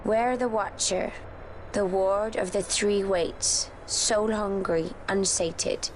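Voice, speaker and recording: A woman playing a calm, wise, non-human warrior in a video game, speaking solemnly in measured, archaic phrases. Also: medium pitch, a little hiss or hum, lightly acted, poor audio. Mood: solemn, incantatory. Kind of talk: video game cutscene acting.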